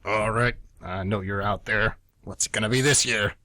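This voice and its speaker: A man's voice: on the low side, steady tone, moderate pace, sharp tone, dry recording, clean studio sound, pitched below the performer's own voice. A man playing a frantic, paranoid history teacher with a clipped, condescending, military manner in a radio comedy. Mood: paranoid, urgent. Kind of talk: amateur radio comedy acting.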